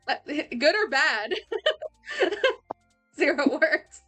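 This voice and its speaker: Giggly, bright-voiced young woman streamer. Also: high voice, expressive, drawn-out words, faint music behind. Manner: giggly, laughs often, rambling with restarts. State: losing her voice. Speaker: livestreamer. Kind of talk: casual chat.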